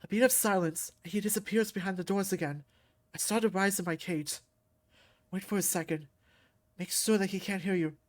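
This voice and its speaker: A woman's voice: medium pitch, a little fast. A woman reading a visual novel aloud and voicing every character herself. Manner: shifts into loud outbursts for angry lines.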